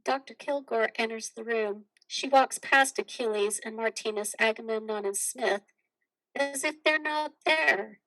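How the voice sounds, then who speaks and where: A woman serves as narrator for a play reading. Her voice is on the high side. She reads scripted stage directions in present tense. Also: measured pace, a bit bright, rough recording.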